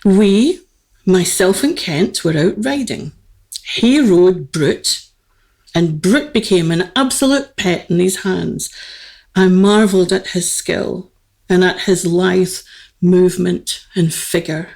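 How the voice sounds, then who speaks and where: A woman's voice: on the low side, takes it slowly, gravelly, darkish tone. A woman playing an aristocratic, lovelorn queen, grand yet girlish, with stately, formal theatrical diction in a comic radio play. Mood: amused.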